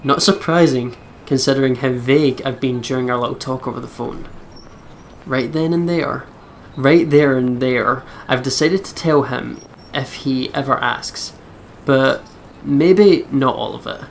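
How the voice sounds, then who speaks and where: A man's voice: mid-range voice, unhurried, creaky, a little hiss or hum. A man hosting a let's play, reading visual novel narration aloud expressively with casual commentary.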